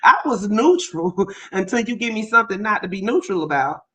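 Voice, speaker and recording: A woman's voice: medium pitch, expressive, brisk, bright, forceful tone, slightly rough. A woman co-hosting a live stream, chatting casually in a commanding, lecturing tone. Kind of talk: casual commentary chat.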